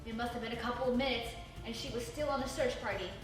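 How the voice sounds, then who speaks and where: A woman narrating a children's storybook in an expressive, animated, dramatic way. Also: on the high side, quick pace. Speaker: storybook reader. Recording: echoey room, distant mic, faint music.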